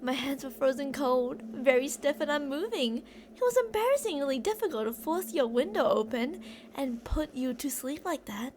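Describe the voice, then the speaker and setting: A woman's voice: sits high, animated, normal speed, darkish tone, a little hiss or hum. A woman playing an obsessive, delusional female kidnapper who rambles theatrically and teasingly to a captive in an ASMR roleplay. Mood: chatty, complaining.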